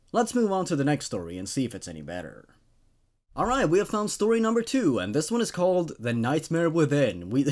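A man's voice: on the high side, darkish tone. Young man reading and reviewing stories. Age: 20s. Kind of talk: reading stories aloud with casual commentary.

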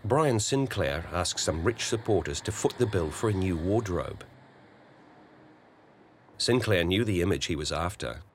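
A man narrating a political documentary in a measured, matter-of-fact way. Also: low voice, animated, a little hiss or hum.

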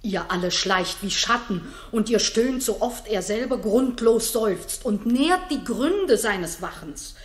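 Fearless noblewoman for classic radio drama: outspoken, declamatory, slow deliberate delivery. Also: fairly high pitch, lively intonation. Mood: urgent, determined. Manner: bold, declamatory. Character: fearless, outspoken, indignant noble lady-in-waiting. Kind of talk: 1960s German radio drama acting. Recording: roomy 1960s radio studio recording.

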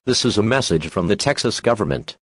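A man's voice: lowish voice, animated, a little fast, husky, no echo. A man reading a scripted emergency broadcast announcement in a formal, impersonal manner.

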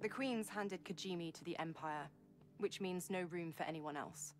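A woman's voice: fairly low pitch, normal speed, over music, natural voice. An actress playing a shrewd, self-assured woman from a crime syndicate in a video game. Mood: assured, persuasive.

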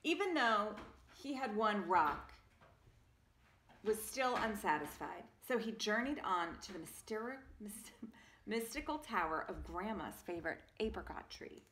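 Female storybook reader, forties, bright and theatrical, slow delivery, some room noise on a phone. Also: mid-range voice, lively intonation. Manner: theatrical, emphatic. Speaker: parent reading a picture book aloud. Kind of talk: expressive storybook narration. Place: carpeted home room with sloped ceiling. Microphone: phone at arm's length.

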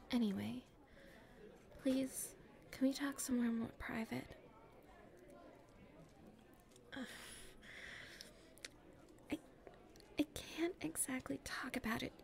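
A nervous popular schoolgirl played by a woman speaks in a fairly high, soft voice that often drops near a whisper. She takes her time, halting and pleading shyly, with a creaky edge. It is an intimate ASMR roleplay performance. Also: exaggerated voice. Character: nervous, shy-spoken popular schoolgirl with a secret crush.

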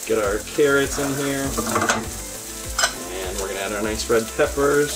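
A man in his thirties hosting a home cooking demo, giving earnest step-by-step instructions with deadpan jokes, recorded on a lapel mic in a home kitchen. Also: medium pitch, moderate pace, rough recording. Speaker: cooking show host. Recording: music playing underneath.

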